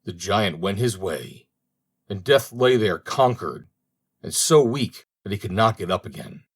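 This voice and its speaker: A man's voice: fairly low pitch, animated, unhurried, husky. A man reading a fairy tale as an audiobook narrator, in scripted storytelling style.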